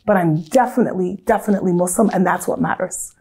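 A woman's voice: fairly low pitch, quick pace, darkish tone, husky. An American woman from Southern California, in her thirties, reflecting earnestly on her life in a casual, storytelling way, with frequent restarts.

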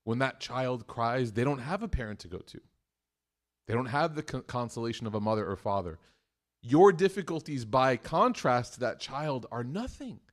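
A man giving a live sermon to a room of listeners, chatty and joking, moving between loud emphatic bursts and hushed points. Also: mid-range voice, expressive, normal speed. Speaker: mosque speaker. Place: room with an audience.

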